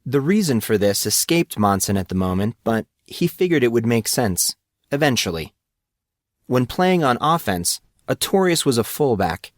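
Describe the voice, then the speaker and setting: A man's voice: medium pitch, big pitch swings, normal speed, no echo, clean studio sound. A man reading scripted fiction aloud as the narrator of a story.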